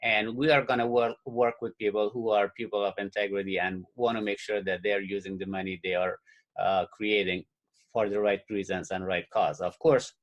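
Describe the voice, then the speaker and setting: Earnest halting man from Afghanistan. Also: on the low side, flat delivery, muffled recording. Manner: halting, many fillers, earnest. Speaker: webinar guest speaker. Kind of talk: personal story on a webinar call. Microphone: call or webinar audio.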